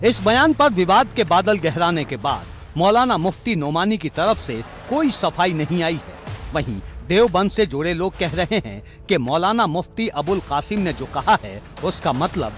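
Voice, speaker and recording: A man's voice: high-pitched, sing-song, moderate pace, fairly bright tone. A man narrating a scripted television news report in a dramatic reporting style. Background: music bed.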